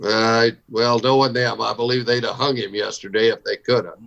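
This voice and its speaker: A man's voice: medium pitch, fairly even intonation, normal speed, vocal fry, fairly bright tone, muffled recording. A man playing a stern, plain-spoken Old West town marshal in a radio Western. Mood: calm, questioning. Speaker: voice actor in radio show recreation.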